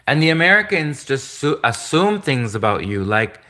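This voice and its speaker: A man hosting a live stream, talking in a rambling, earnest way, often repeating himself, with a soft voice that some hear as gender-ambiguous. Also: medium pitch, very animated, normal speed. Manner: repetitive, earnest, restates points often; greets viewers and reads comments aloud.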